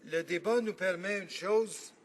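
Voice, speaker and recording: A man with a Quebec French accent, a member of parliament giving an earnest, argumentative debate speech in a legislative chamber and pausing often to think. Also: high-pitched. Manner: earnest, argumentative, thinking aloud with frequent pauses.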